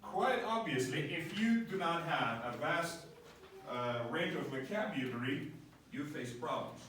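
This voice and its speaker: A man's voice: fairly high pitch, lively intonation, a little slow, fairly bright tone, a little hiss or hum. A man teaching an English class, explaining step by step and often checking with his students by asking "right?". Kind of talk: classroom lecture. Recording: echoey room, voice from a distance.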